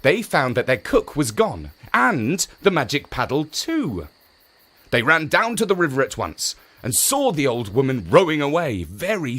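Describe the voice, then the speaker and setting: A man narrating a children's story in an expressive, theatrical storytelling manner. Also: mid-range voice.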